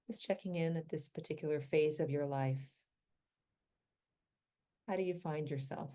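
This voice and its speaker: A woman guides a meditation in a low-pitched voice with a dark, relaxed tone. She speaks gently and without hurry, leaving long pauses between short phrases.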